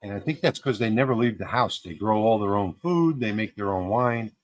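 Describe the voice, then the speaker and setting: A man's voice: on the low side, animated, brisk, no room sound. A man in his fifties hosting a casual livestream, talking in a rambling way with fillers and restarts. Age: 50s. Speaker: livestream host.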